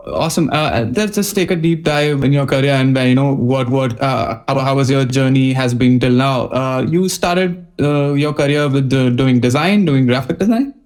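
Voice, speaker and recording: A man hosting a casual interview podcast, speaking in halting, hesitant phrases with repetitions. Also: mid-range voice, a little mellow. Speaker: podcast host.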